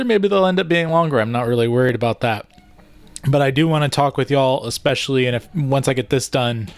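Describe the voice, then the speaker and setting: A man speaks in a mid-range voice with an informal vlog delivery. He explains his tips and plans casually, rambling a little as he thinks aloud to his viewers. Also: medium pitch. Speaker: vlogger, video editor. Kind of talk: casual vlog talk and tutorial.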